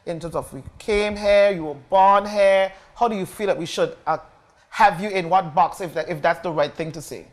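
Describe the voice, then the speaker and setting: Low-pitched woman, talk show host. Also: normal speed. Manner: encouraging, affirming. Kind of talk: conversational on-air interviewing.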